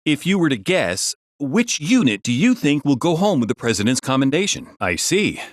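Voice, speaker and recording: A polite video game TV reporter. He is courteous and professional, with a slightly high voice and lively intonation. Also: normal speed, dry recording, studio quality. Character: polite, brisk male TV reporter interviewing passers-by.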